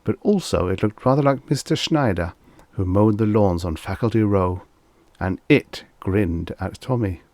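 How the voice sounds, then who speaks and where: A man reading a scripted short story as an audiobook narrator, in a measured, expressive storytelling manner. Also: fairly low pitch, no room sound.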